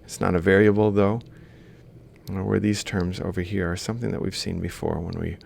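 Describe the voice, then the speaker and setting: Male lecturer, low register with heavy vocal fry, informal step-by-step walkthrough. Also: flat delivery, brisk, a little mellow, slight background noise. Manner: explains step by step while writing. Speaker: lecturer in robotics. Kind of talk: informal worked-example lecture.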